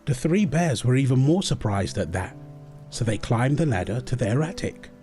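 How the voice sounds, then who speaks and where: Man, mellow tone, tender and soothing, expressive pitch, bedtime comfort roleplay, soft music behind. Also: moderate pace. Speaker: audio roleplay performer as husband and father.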